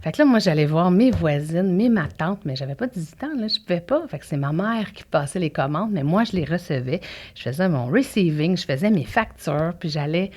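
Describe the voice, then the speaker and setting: A woman from Quebec with a Québécois French accent, a guest entrepreneur telling her story in an energetic, talkative way. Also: fairly low pitch, fairly quick. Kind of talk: casual interview chat.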